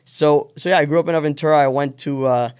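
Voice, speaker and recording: A man in his twenties with an American accent, a startup founder speaking as a guest in a studio interview. He talks eagerly, with lots of "like" and quick restarts. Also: on the high side, fairly even intonation, smooth. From born in Israel, raised in Miami area. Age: 20s. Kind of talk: casual interview. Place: podcast studio.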